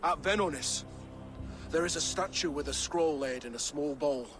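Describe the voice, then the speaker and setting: Actor plays a stubborn middle-aged thane, gruff, raised pitch, over score. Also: male voice, moderate pace, over music, natural voice. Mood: grateful urgent. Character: defiant older male warrior thane. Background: game score.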